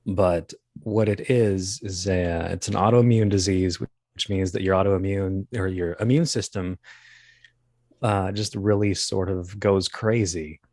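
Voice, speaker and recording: A man in his early thirties telling anecdotes as an interview guest, in a halting, wry, self-deprecating way. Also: sits low, fairly even intonation, darkish tone, no echo, studio quality. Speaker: guest, voice actor and comedian. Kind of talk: casual interview, anecdotal storytelling.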